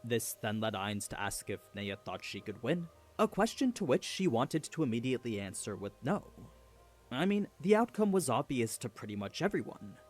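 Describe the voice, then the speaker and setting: A man narrates a scripted story recap. His mid-range voice moves up and down in pitch a good deal, giving the storytelling an animated delivery. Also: moderate pace, soft background music.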